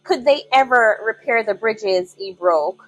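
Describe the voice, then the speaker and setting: A woman narrating a scripted dramatic story in a solemn, measured manner, in short phrases. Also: fairly high pitch, soft background music, rough recording.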